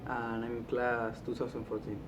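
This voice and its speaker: A man's voice: monotone, normal speed. An eighteen-year-old man from Argentina whose native language is Spanish, speaking English with an Argentine accent, hesitant and full of "uh" fillers. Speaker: student athlete. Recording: distant mic with loud rumble.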